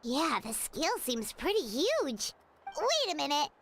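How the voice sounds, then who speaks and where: A woman voicing a tiny, childlike female fairy companion in a video game, chirpy, excitable and boastful. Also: high-pitched, takes it slowly, a little hiss or hum, exaggerated voice. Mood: curious, conspiratorial. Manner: speaks of self in third person, excitable. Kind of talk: scripted video game voice acting.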